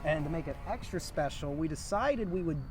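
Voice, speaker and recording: Young male host, slightly high voice, casual joking banter, outdoor recording with music underneath. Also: expressive, fairly quick. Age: young adult. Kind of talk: casual video introduction with banter. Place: outdoors at a historic site.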